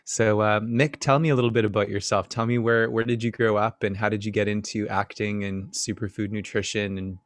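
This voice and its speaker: A man's voice: on the low side, flat delivery, fairly quick, few pauses, no echo, clean studio sound. A man from New South Wales hosting a casual interview, effusive and jokey with his guest. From New South Wales, Australia. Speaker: podcast host.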